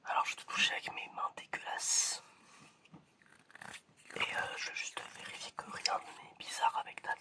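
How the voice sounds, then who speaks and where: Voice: normal speed, long pauses, bright, forceful tone. An ASMR performer whispering a roleplay exam in a hesitant murmur, often repeating short phrases. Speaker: ASMR performer playing an examiner.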